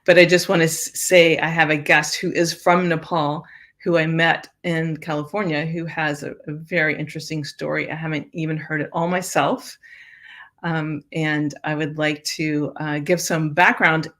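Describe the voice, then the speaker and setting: A woman's voice: sits low, little pitch movement, normal speed, fairly bright tone. An older woman hosting an interview show, talking casually with many fillers and restarts. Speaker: host.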